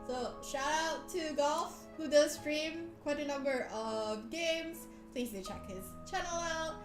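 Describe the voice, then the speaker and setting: Female streamer, very high voice, playful and teasing, loose chatty delivery over background music. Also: takes it slowly. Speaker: livestream host. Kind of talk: casual live stream chat, teasing viewers. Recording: echoey room, music behind voice. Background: soft background music.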